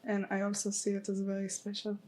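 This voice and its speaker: A woman's voice: mid-range voice, monotone, quick pace, dark tone. A woman from Israel with a Hebrew accent, co-hosting a podcast and speaking in an earnest, hesitant way. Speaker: podcast co-host.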